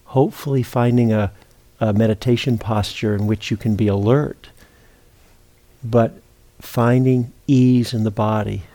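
A man teaching meditation, giving gentle, unhurried guided instructions with long pauses between phrases. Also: medium pitch, fairly even intonation.

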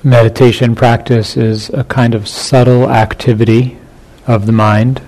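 A man leading a guided meditation as a teacher, speaking in short phrases with long pauses between them. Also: mid-range voice, flat delivery, darkish tone, low-quality recording.

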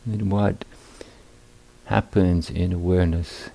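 A man teaching guided meditation at a retreat, speaking in deliberate, hesitant phrases with long pauses. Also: deep voice, fairly even intonation, gravelly, mellow.

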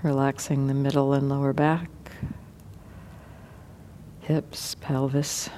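A woman meditation teacher leading a guided meditation gently and without hurry, leaving many pauses. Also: extremely low pitch, level tone, slight background noise.

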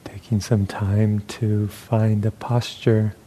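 A man leading a guided meditation as a teacher, speaking calmly and gently in measured phrases with pauses. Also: on the low side, a little hiss or hum.

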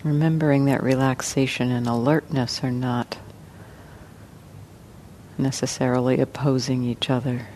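A woman leads a guided meditation in a soft, dark, relaxed voice. She speaks slowly and gently, leaving long pauses between phrases. A faint low rumble sits behind her. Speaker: meditation teacher.

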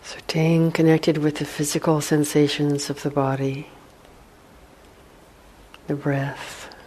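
A woman guides a meditation in a hushed, soothing voice. She speaks slowly in short phrases with long pauses, keeping her pitch level and steady. There is a faint wash of background noise behind her. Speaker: meditation teacher.

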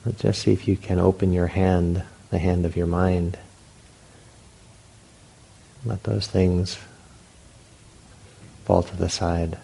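A man with a very deep, gravelly voice guides a meditation. His tone is dark and mellow, and he keeps it fairly even. He speaks gently and unhurriedly in short phrases, leaving long pauses between them. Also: rough recording. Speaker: meditation teacher.

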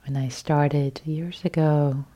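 A woman from Iran whose first language is Farsi, guiding a meditation in a slow, soft, partly whispered voice. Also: low voice, fairly even intonation. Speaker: meditation teacher. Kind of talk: guided loving-kindness meditation.

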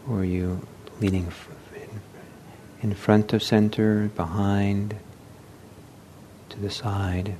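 A man leading a guided meditation as a teacher, speaking gently and unhurriedly with halting pauses. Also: little pitch movement. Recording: low rumbling background noise.

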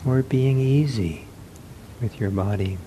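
A man teaching a guided meditation in gentle, soothing short phrases with long pauses. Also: medium pitch, a little hiss or hum.

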